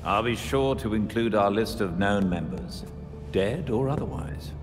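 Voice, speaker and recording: An actor playing a calm male vigilante who speaks matter-of-factly. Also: on the low side, a little slow, natural voice. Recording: reverb with music score.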